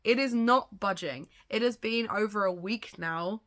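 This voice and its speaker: A woman chatting casually in a reading vlog, her voice gravelly from a cold, rambling and swearing now and then. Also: medium pitch, a little slow, a bit bright. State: has a cold, sounds gravelly. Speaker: booktuber.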